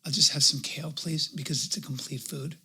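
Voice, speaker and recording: A man's voice: on the high side, steady tone, a little fast, sharp tone, husky, full character voice. A man voicing a frail, meek but spiteful vegan diner in a prissy, passive-aggressive comedy sketch.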